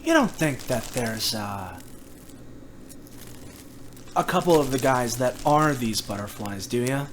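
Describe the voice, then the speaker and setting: A man's voice: mid-range voice, big pitch swings, slow pace, pauses often, slight background noise, poor audio. A man playing a folksy, casual adventurer in a tabletop roleplaying game, speculating in colloquial phrasing. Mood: wary, curious.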